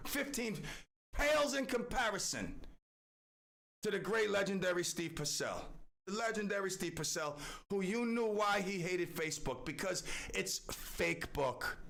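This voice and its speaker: A man's voice: high voice, gravelly, fairly bright tone. A middle-aged man hosting a talk radio show, ranting in a boastful, theatrical way. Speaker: radio host.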